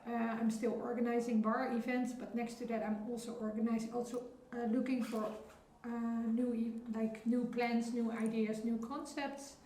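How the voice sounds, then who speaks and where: A woman's voice: on the high side, flat delivery, normal speed, dark tone. A woman who works as a DJ and club founder, chatting casually in an interview. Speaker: DJ, club founder and label owner being interviewed. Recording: echoey room with faint background noise.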